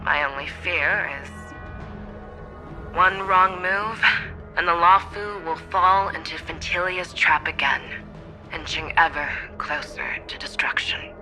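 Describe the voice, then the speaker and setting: Brief: a grave male strategist, bright edgy tone, measured delivery. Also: high-pitched, faint music behind, full character voice. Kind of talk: game voice acting.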